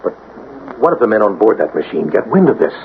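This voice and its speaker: An anxious mayor in a radio drama, talking fast with a gravelly edge. He sounds worried and urgent on an old radio recording. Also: lowish voice, sing-song. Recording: noisy, narrow-band old radio broadcast.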